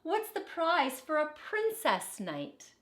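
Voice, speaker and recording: A woman's voice: high-pitched, huge pitch swings, takes it slowly, a bit bright, echoey room, pitched above the performer's own voice, heavily acted. A woman voicing a defiant, proud young princess knight in an emphatic storybook read-aloud. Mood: triumphant. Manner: emphatic, exclamatory. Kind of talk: picture book read-aloud character voice.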